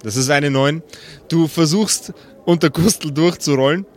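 A man's voice: a little high, a little slow. A man acting as game master, narrating an improvised tabletop role-playing game.

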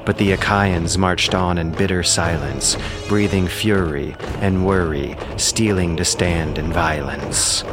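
A man's voice: low voice, steady tone, slow pace, hardly any pauses, music in the background. A man delivering dramatic, verse-like scripted narration of an ancient epic as narrator.

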